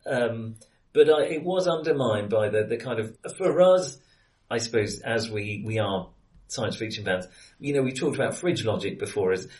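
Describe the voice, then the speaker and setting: A man's voice: on the low side, animated, normal speed, far from the mic, rough recording. A man co-hosting a casual film discussion, feeling his way through his opinions with hesitant, self-correcting speech. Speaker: podcast co-host.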